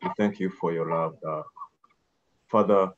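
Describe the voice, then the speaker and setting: A man leading a spoken prayer in a slow, reverent manner. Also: deep voice, steady tone. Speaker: church member leading prayer. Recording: dull lo-fi recording.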